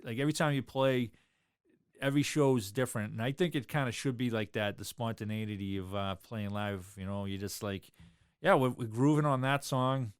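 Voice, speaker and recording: A man's voice: medium pitch, normal speed, smooth, dry recording, clean studio sound. A man, a drummer talking loosely in an interview, rambling with lots of fillers. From South Boston, USA. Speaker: drummer and guest. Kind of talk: casual interview chat.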